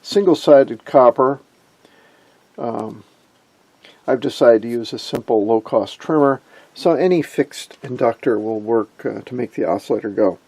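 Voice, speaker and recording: A middle-aged man giving an informal hands-on tutorial in an unhurried, folksy manner. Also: medium pitch, warm tone, husky, no echo. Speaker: electronics hobbyist presenter. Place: indoor electronics workbench.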